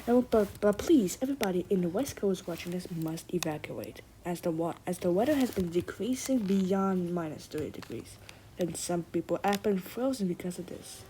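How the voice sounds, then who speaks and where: A woman's voice: lowish voice, expressive, normal speed, mellow, a little hiss or hum, lightly acted, low-quality recording. A woman playing a worried news reporter who speaks in a hurry and stumbles over words. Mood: anxious, hurried. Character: hurried, worried news channel reporter about to evacuate. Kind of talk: amateur acted news report.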